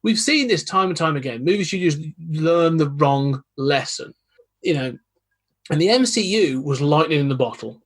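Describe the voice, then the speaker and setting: A man, a guest on a casual podcast chat, talking in a thoughtful, measured way with many asides. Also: on the high side, expressive. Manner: thoughtful, measured, hedging with "you know".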